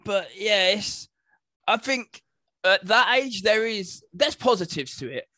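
A man's voice: sits high, expressive, takes it slowly, pushed and bright, no room sound. A young British man in casual podcast chat as co-host, informal and acting out imagined exchanges. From United Kingdom.

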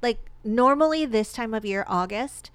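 A young woman with a congested, blocked-nose voice chats at length on a podcast. Her tone is smooth and clean, recorded close and dry. She rambles easily from one story to the next. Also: fairly high pitch, animated, moderate pace, dry recording. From Michigan. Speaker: podcast co-host. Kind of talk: casual chat.

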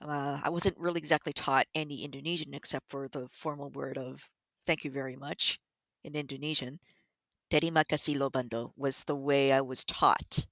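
A woman guest in a conversational interview, talking in an animated way with many restarts. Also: rumbling low, moderate pace, no echo, highs cut off. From Canada. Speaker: concept artist, guest.

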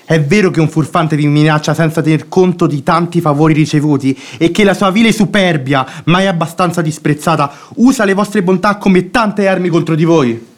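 Radio drama, male actor as boastful young son, fiery and theatrical, voice a little high. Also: normal speed, few pauses, natural voice. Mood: outraged, impetuous. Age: young adult. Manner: declamatory, impetuous. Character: hot-headed young son, boastful avenger.

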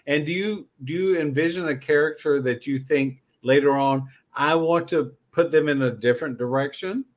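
A man with a fairly high-pitched voice hosts a podcast interview. He speaks conversationally, poses questions to his guests, and repeats short phrases to underline them. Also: moderate pace. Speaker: podcast host interviewing writers.

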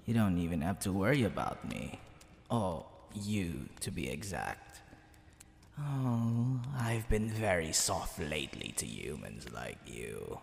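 A man voicing a smug, flirtatious demon king in a theatrical ASMR roleplay, teasing the listener, with creaky tones that drop into breathy whispers. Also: low voice, big pitch swings, heavily acted. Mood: smitten teasing. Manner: theatrical, drawn-out, teasing delivery. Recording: long hall reverb, background hiss and ambience.

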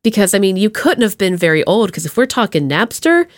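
A woman hosting a podcast in a casual chat, with a playful, slangy and expressive way of talking. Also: medium pitch, a little fast, dry recording, studio quality. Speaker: podcast host.

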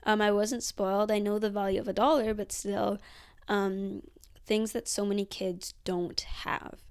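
An eighteen-year-old woman chats earnestly to camera in a casual vlog. Her voice is smooth and clear at a middle pitch, and she fills her sentences with ums and likes as she thinks aloud. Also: level tone, a little slow. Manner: chatty, filler-heavy, earnest. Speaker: vlogger. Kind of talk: casual reflective monologue.

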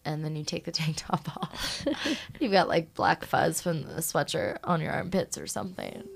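A woman bass player in a casual interview, chatty and quick to throw in a funny aside. Her voice is low with a gravelly fry. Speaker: band bassist and songwriter.

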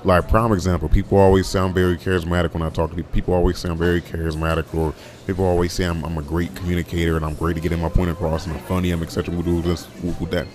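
A young man with a deep voice hosting a casual solo podcast, rambling with many restarts and fillers. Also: flat delivery, racing, a little mellow, soft background music. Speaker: podcast host.

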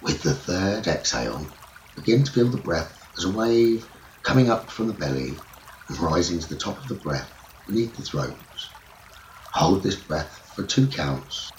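A man leading a guided sleep meditation as a teacher, speaking in soothing, measured short phrases. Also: deep voice, animated. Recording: roomy echo, running water noise behind. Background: trickling stream water nature sounds.

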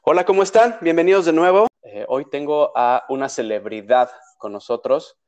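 A man's voice: a little high, normal speed, a little mellow. Chatty man with a Mexico City accent. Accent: Mexico City Spanish. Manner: chatty, with many fillers and restarts. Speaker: podcast host. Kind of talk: casual interview.

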